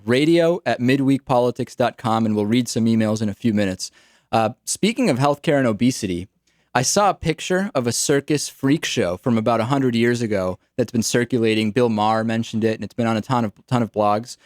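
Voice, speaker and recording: A man's voice: medium pitch, no room sound, clean studio sound. A young man hosting a political talk radio show, casual and wry, often repeating his words.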